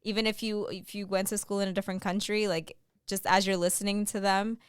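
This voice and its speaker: A young woman co-hosting a podcast, talking earnestly and haltingly with many fillers. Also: medium pitch, flat delivery, clean tone, no echo, clean studio sound. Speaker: podcast co-host. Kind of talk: casual chat.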